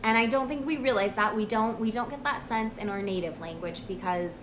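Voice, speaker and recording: An American woman, a language coach, talking about her own experience in a casual, earnest vlog monologue with frequent pauses and ums. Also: on the high side, background noise. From United States. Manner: earnest, reflective, with pauses and fillers.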